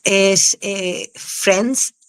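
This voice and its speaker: Actress w/ canarian accent, candid and chatty, laughs a lot, mid pitch. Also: female voice, drawn-out words, clean tone. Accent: Canarian Spanish. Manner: candid, expressive, laughs easily. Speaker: actress, guest. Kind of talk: casual interview answers.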